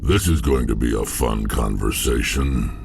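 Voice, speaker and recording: An actor playing a gruff, battle-hardened alien warrior in a video game, with a blunt, sardonic manner. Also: male voice, rumbling low, a little slow, noisy background. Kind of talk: video game cutscene acting.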